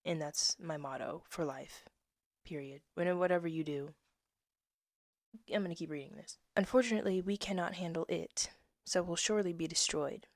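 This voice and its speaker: A woman, reading her old poems and essay aloud with casual asides, soft-spoken and slipping into whispers. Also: lowish voice, normal speed, no room sound, studio quality. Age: 20s. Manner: soft-spoken, drifts into whispers, laughs at herself. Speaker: poet reading her own work.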